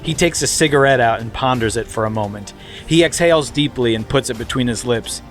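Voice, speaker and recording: A man's voice: mid-range voice, brisk, faint music behind. Man reading stage directions for laughs. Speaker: podcast co-host, narrator. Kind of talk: comedic script narration.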